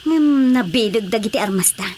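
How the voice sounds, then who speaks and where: A man's voice: high voice, animated, fairly quick, a little mellow, slight background noise. A man with an Ilocano accent playing an eager, scheming rebel henchman in a radio drama.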